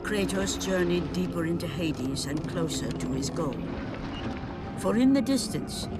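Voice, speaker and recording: A man's voice: fairly high pitch, lively intonation, measured pace. A man giving grave, dramatic scripted narration for a game cutscene. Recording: game cutscene with loud score. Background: loud orchestral music.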